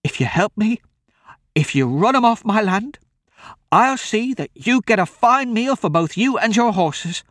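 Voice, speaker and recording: A man's voice: high-pitched, expressive, measured pace, a bit bright, no room sound, studio quality, pitched above the performer's own voice. A man voicing a frightened old farm woman in an audiobook, with urgent, folksy, rustic talk. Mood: pleading.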